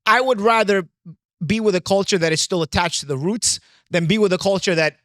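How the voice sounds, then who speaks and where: A man in an animated sports discussion, emphatic and repeating himself, often saying "you know". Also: sits high, fairly quick, no echo, studio quality. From New York, USA. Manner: emphatic, repetitive, peppers talk with "you know". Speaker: boxing show panelist.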